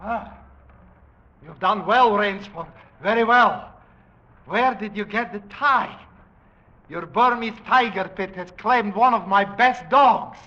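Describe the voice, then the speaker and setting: A man's voice: high-pitched, slow pace. An actor playing a suave, aristocratic big-game hunter who taunts his prey with theatrical, mocking courtesy in a 1940s radio drama. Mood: gloating admiration. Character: suave, gloating aristocratic male big-game hunter, cruelly playful villain. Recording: dull vintage 1940s radio recording.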